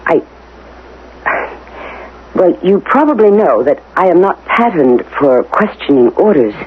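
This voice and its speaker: A woman acting in a radio drama as an anxious, dutiful administrator of a regimented future, speaking formally and haltingly. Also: lowish voice, big pitch swings, breathy edge, full character voice. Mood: uneasy, puzzled. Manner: hesitant, faltering, formal. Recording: tinny, hissy 1980 radio broadcast.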